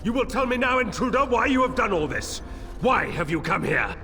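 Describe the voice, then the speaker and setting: A fanatical male cult leader from a fantasy game. His high voice swings widely as he delivers zealous, commanding declarations. It rings through an echoing hall. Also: big pitch swings, fairly quick, sharp tone, full character voice. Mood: outraged. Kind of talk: dramatic fantasy game acting. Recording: echoing hall, background noise.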